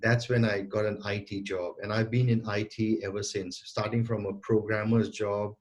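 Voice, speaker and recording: Man in his forties, lowish voice, earnest, stumbles and restarts words, remote call mic. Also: level tone, moderate pace. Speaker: guest entrepreneur and sales coach. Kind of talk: conversational interview answers.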